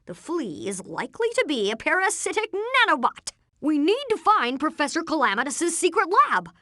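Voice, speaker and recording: A woman voicing an earnest, brainy boy inventor who leads the team, speaking in precise, technical terms in an animated video game. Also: high-pitched, moderate pace, no room sound, clean studio sound, full character voice. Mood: urgent, determined. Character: boy genius inventor, earnest, brainy, take-charge young leader.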